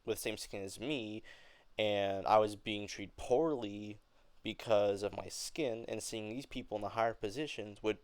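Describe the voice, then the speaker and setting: A young man with a clear, smooth, mid-pitched voice explains something informally. He speaks haltingly and often rephrases as he goes. The recording is close and dry. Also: no room sound. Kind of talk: informal explanation of an acting reading.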